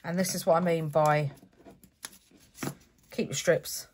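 A woman's voice: deep voice, steady tone, takes it slowly, a little mellow, a little hiss or hum. Chatty woman, stuffy from a cold. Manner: chatty, self-correcting step-by-step explaining. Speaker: craft channel host. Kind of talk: relaxed craft tutorial narration. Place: home craft desk, indoors.

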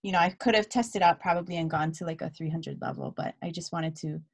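A woman in her thirties from Hawaii, telling her story as a guest speaker on a video call. She talks in a relaxed way with many fillers and drops Hawaiian words into her English. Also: on the low side, quick pace. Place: dim room at home. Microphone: laptop webcam video call.

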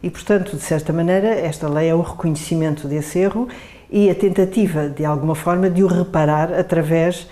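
A middle-aged woman with a European Portuguese accent explains as an interviewed expert. Her voice is notably deep and mellow, and she often halts to rephrase. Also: steady tone, fairly quick. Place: bright room by a window, indoors.

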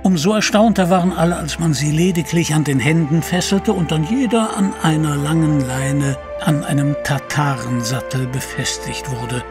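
A man tells an adventure tale as scripted drama narration. His voice is fairly high and dramatic, laid over prominent music. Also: moderate pace. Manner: dramatic storytelling.

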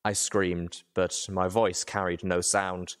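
A man's voice: low-pitched, little pitch movement, slow pace, clear, resonant tone. A man reading a first-person story aloud as a dramatic audiobook narration.

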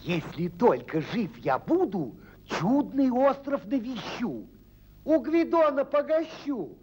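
An actor playing an eager, grand tsar in an old cartoon, declaiming verse theatrically. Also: male voice, very high-pitched, very animated, takes it slowly, darkish tone, heavily acted. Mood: eager resolve. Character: tsar, eager and grand, fairy-tale ruler. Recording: noisy 1940s film soundtrack.

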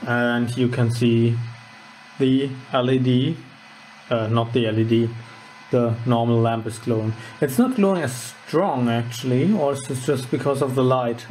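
A man giving a casual, unscripted electronics demonstration, halting and informal as he thinks aloud. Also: medium pitch, darkish tone, a little hiss or hum, low-quality recording. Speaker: host of a DIY electronics channel. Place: indoors at a wooden workbench.

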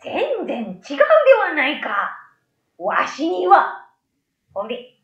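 Woman voicing a huffy, proud tiny old yokai man, swooping pitch. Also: very animated, a bit bright, reverberant, highs cut off, exaggerated voice. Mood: exasperated. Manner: old-man speech, indignant, drawn-out calls.

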